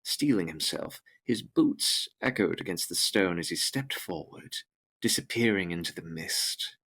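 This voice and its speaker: A man's voice: deep voice, expressive, measured pace, gravelly, bright, forceful tone. A man narrating a gothic audio drama as scripted, with grave, dramatic phrasing.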